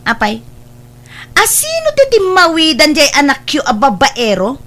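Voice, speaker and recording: Picture a hot-tempered young Filipino wife, acted by a woman in a comedy drama. Her voice is very high and piercingly bright, swinging widely in pitch as she scolds and taunts. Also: wildly swooping pitch, measured pace, full character voice. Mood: confrontational.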